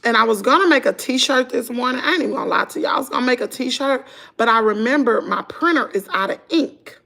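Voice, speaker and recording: A middle-aged woman with a Southern US accent, chatting hoarsely to her viewers at home on a phone livestream. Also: fairly high pitch, animated, fast, sharp tone. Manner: chatty, laughing, drawling, talks to "y'all". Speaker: vlogger on a morning livestream. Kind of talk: casual chat with viewers. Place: at home indoors.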